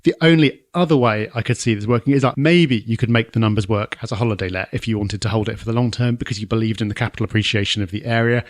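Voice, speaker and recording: A man co-hosting a podcast, talking through property analysis in a conversational, measured and analytical way. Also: on the low side, dry recording, studio quality. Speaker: podcast co-host.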